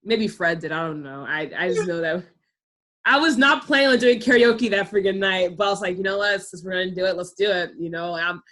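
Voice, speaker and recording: A woman hosting a casual chat, animated and talking with a lot of "like" fillers. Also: lowish voice, a little fast. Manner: animated, heavy use of "like". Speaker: host.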